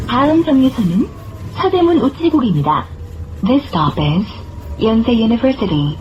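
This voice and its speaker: A woman reading scripted bus stop announcements in a measured, formal manner. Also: on the high side, sing-song. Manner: measured, formal, clearly phrased. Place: played over speakers inside a moving bus. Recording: muffled recording with clear vehicle noise. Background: bus engine and road noise.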